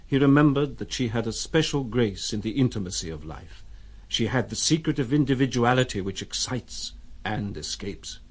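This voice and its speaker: An actor as a reflective narrator of a literary audio drama, measured and expressive. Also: male voice, medium pitch, breathy edge.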